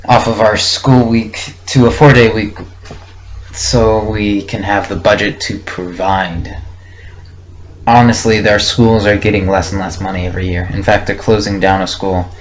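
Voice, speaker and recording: A man's voice: on the low side, steady tone, unhurried, long pauses. A young man recording a casual, unscripted audio log, thinking aloud hesitantly and reflectively in short fragments. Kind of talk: casual unscripted rambling monologue. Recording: muffled lo-fi with faint background noise.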